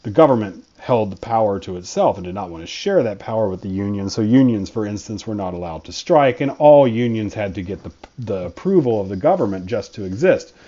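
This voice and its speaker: A man's voice: lowish voice, lively intonation, brisk, hardly any pauses, mellow, lo-fi sound. A man, a history professor, giving a conversational college lecture with frequent fillers and restarts.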